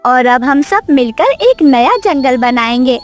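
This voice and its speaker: A woman's voice: sits high, expressive, a little slow, over music. A woman voicing a kind, humble mother bird in an earnest, tender cartoon story style. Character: kind, humble mother sparrow, gentle and forgiving. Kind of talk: cartoon moral story acting.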